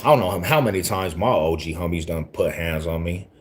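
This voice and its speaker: A man in his forties with a very low voice talks on a casual livestream. He rambles loosely in street slang and circles back to repeat his points, greeting viewers as he goes. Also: a little fast. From Denver, Colorado. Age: 40s. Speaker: livestream host talking to viewers.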